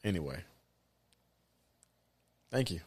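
Southern-accented male co-host, low, dry voice, laid-back banter. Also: big pitch swings, takes it slowly, a little mellow, slightly rough, no room sound. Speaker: podcast co-host. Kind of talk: casual chat.

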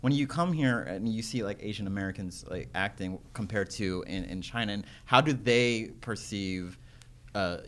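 A man interviewing a guest, his voice sitting a little low. He asks in a loose, rambling way, restarting and leaning on "like" as he searches for words. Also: moderate pace. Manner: rambling, hesitant questions full of "like". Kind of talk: casual interview questions.

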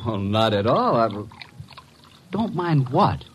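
An actor playing an easygoing, breezy detective's assistant in a vintage radio drama, talking informally. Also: male voice, mid-range voice, big pitch swings, slow, a little hiss or hum. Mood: puzzled.

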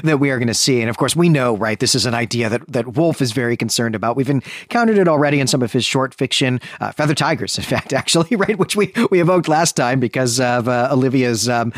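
A man speaks in a close, dry recording with a mid-pitched voice. He sounds like a bookish podcast co-host, explaining and digressing conversationally with light amusement. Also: animated, quick pace, few pauses, dry recording. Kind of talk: conversational literary discussion and recap.

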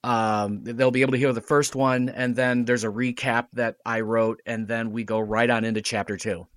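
A man chatting casually as a podcast guest, explaining things in a conversational, halting way. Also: medium pitch, little pitch movement, no room sound, clean studio sound. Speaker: podcast guest, radio play writer. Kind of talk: casual interview chat.

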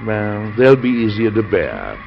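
An actor playing a genial middle-aged American president and husband in an old-time radio drama, speaking formally with a hearty, deliberate manner. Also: male voice, lowish voice, huge pitch swings, creaky, soft background music, exaggerated voice. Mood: jovial, affectionate. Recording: dull, noisy old radio recording.

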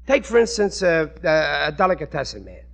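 An actor playing a naive, self-important American working-class husband in an old radio comedy, blustery, folksy and ungrammatical. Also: male voice, fairly high pitch, sing-song, brisk, gravelly. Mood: stubborn, dismissive. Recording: vintage radio recording with studio audience.

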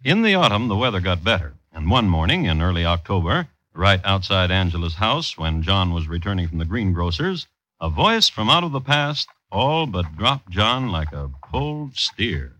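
A man's voice: low-pitched, very animated, moderate pace, pushed and bright, no echo, exaggerated voice, rough recording. A man performing as a wry, droll storyteller narrating an old-time radio drama. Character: wry, droll male storyteller of a period tale.

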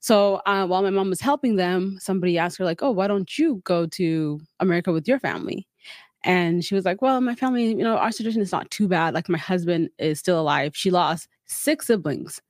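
A woman's voice: on the low side, fairly quick, dry recording, studio quality. A young woman from South Sudan, a podcast guest, talking casually and telling her life story with many fillers. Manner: relaxed, storytelling, frequent "like" fillers. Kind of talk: casual interview chat.

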